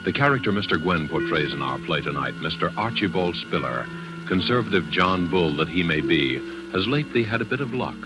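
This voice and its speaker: Deep, ominous radio mystery host. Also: male voice, expressive. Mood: ominous, formal. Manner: measured, formal, portentous. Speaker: host and announcer. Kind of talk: 1940s radio scripted introduction. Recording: vintage 1940s radio, loud music behind.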